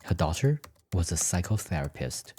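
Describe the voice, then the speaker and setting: A man's voice: sits low, sing-song, normal speed, darkish tone, a little hiss or hum. A man reading scripted fiction as a story narrator.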